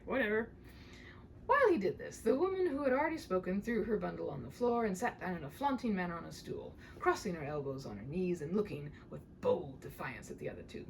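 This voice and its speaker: A woman's voice: mid-range voice, vocal fry, a little hiss or hum, poor audio. A woman in her thirties reading a story aloud expressively, with dramatic delivery and wry, casual asides. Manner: dramatic reading, wry self-interrupting commentary. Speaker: storybook reader.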